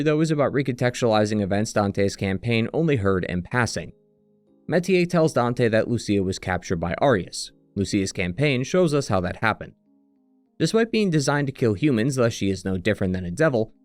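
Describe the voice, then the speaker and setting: A man's voice: medium pitch, darkish tone, dry recording. Man narrating a dry, close-miked video essay. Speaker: video game retrospective narrator and critic. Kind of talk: scripted narration, analytical commentary.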